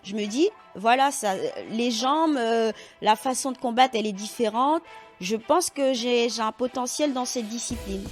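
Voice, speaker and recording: A woman's voice: on the high side, moderate pace, faint music behind. A woman from French Guiana giving casual answers as an interview guest. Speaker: podcast guest, elite sambo athlete.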